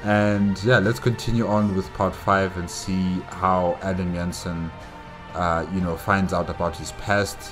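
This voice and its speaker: A man with a very low voice hosts a reaction video at a slow pace. He recaps and comments casually, pausing as he thinks aloud, with music behind him. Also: flat delivery.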